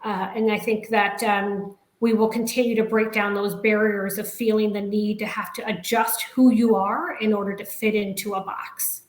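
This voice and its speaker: A woman human resources executive speaking on a panel in a measured way, often tagging her sentences with "right?". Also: medium pitch, level tone, reverberant, rough recording. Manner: measured, frequent "right?" tags. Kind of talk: panel discussion.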